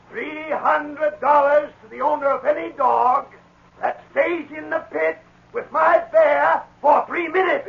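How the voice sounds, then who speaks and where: An actor playing a brash medicine show pitchman with loud, folksy, theatrical sales patter in a 1940s radio drama. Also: gravelly, heavily acted. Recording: muffled 1940s radio recording.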